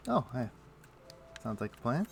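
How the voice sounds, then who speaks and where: A man chatting casually on a game live stream, thinking aloud in a halting, muttering way as he plays. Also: mid-range voice, sing-song, creaky. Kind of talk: casual live-stream chat while playing an online game. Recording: faint music behind the voice. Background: faint music.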